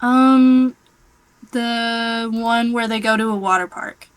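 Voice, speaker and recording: A woman's voice: a little high, fairly even intonation, takes it slowly, clean tone. A woman chatting casually as a co-host on a livestream.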